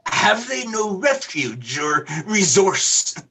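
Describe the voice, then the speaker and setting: Man acting Scrooge, a slow, husky, humbled old miser. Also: high-pitched, sing-song, sharp tone, exaggerated voice. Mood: troubled. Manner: halting, earnest, pleading. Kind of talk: theatrical radio play acting.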